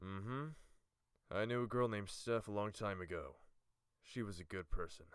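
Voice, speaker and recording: A man's voice: fairly low pitch, moderate pace, clear, resonant tone, no room sound, clean studio sound, lightly acted. An actor playing a composed, courteous male village leader with a wry streak in a fantasy audiobook. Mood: wistful, composed. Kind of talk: audiobook character acting.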